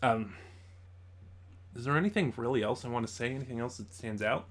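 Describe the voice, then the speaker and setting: A man hosting a casual review, talking conversationally and haltingly as he thinks aloud. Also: mid-range voice, fairly bright tone. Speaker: YouTube reviewer, host. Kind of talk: casual audio drama review commentary.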